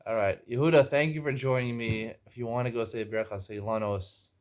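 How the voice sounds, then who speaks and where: A man's voice: mid-range voice, fairly even intonation, brisk. Joking, chatty man in his forties. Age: 40s. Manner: jokey, teasing, chatty storyteller. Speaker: host. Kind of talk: casual chat and storytelling. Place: Israel.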